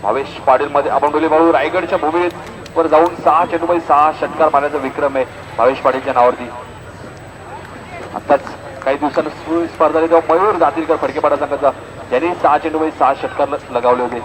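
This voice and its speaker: A Maharashtrian man with a fairly high-pitched voice delivers live cricket commentary. The recording is from an outdoor ground, and there is clear noise behind his voice. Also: steady tone, fast.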